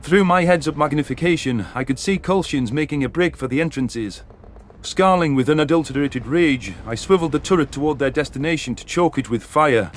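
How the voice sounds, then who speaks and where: A man's voice: a little high, a little fast, a little hiss or hum. A man narrating a dramatic first-person science fiction story as an audiobook.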